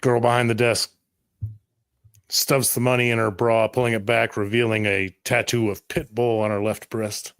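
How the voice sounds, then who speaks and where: A man narrates an improvised tabletop roleplay as game master. His voice sits at a medium pitch, recorded close and dry with very little room sound. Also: little pitch movement, moderate pace, fairly bright tone, clear, resonant tone, no echo.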